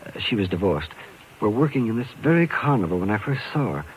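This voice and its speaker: An American actor playing a desperate, guilt-ridden carnival man in 1950s radio style, halting and pleading. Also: lowish voice, big pitch swings, highs cut off. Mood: anguished confession. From Illinois, United States. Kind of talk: 1950s radio drama acting. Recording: hissy old radio recording.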